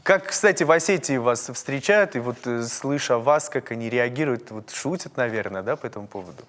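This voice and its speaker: A man from North Ossetia hosting a TV interview, speaking in a measured, searching way with many fillers. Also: mid-range voice, lively intonation, a bit bright, in a large hall. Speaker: TV host. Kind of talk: TV interview and sign-off.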